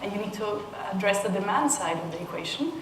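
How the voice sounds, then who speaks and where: A woman's voice: mid-range voice, lively intonation, fast talker, echoey room. Woman, from Peru, conference speaker, thoughtful restarts, distant mic, audible background noise. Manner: thoughtful, with frequent restarts and soft laughs. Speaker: panel speaker. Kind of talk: conference talk. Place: conference room.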